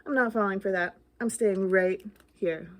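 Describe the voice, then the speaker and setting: A woman's voice: medium pitch, normal speed, a bit bright, pitched below the performer's own voice, lightly acted. A woman voicing a jumpy, bluffing little ghost in a children's picture book, theatrical and full of anxious questions. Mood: indignant, pleading.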